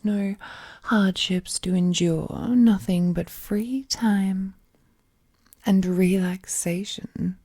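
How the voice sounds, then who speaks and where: A woman playing an ancient, all-powerful female captor, possessive and lovesick, in an intimate villainous audio roleplay with a hushed, menacingly tender delivery. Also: medium pitch, gravelly, breathy edge, heavily acted. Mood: coaxing, tender. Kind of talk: scripted audio roleplay, intimate dramatic monologue.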